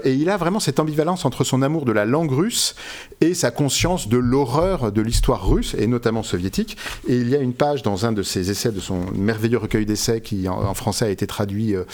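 A man talks as a literary guest in an interview, at a medium pitch. His explanations are learned and detailed, halting often as he searches for a word and corrects himself. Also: darkish tone. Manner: erudite, halting explanations with frequent self-corrections. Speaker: interviewed author and literary expert. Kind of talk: unscripted scholarly interview.

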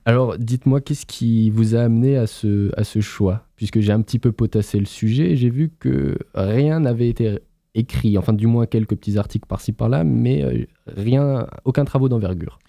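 A man hosting a radio show, putting measured questions to his guest in the studio. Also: medium pitch, creaky, dark tone, dry recording. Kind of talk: radio interview.

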